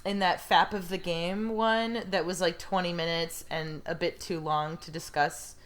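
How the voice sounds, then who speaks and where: A woman's voice: fairly low pitch, fairly even intonation, unhurried, a bit bright. A woman chatting casually as a podcast co-host, feeling out her points with tentative phrasing.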